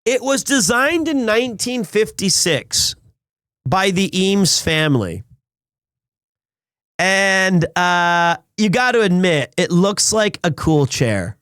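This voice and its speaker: A man in his thirties, chatting casually with viewers on a live stream, slightly raspy and hoarse after being sick, rambling and excitable. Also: high voice, takes it slowly, no room sound, clean studio sound. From Wales. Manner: rambling, restarts often, excitable.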